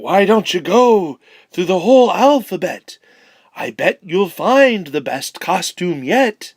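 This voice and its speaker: A woman's voice: on the low side, expressive, slow pace, a little mellow, no room sound, full character voice. A woman voicing a helpful, confident spider in a rhyming children's storybook, eager and persuasive, with a sing-song delivery. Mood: encouraging. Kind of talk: children's storybook read-aloud in rhyme.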